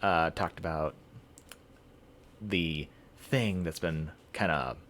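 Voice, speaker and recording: A man hosting a game livestream, chatting casually in a halting, rambling way. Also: deep voice, a bit bright, a little hiss or hum. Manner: halting, rambling delivery with throat clearing. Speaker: game streamer, host.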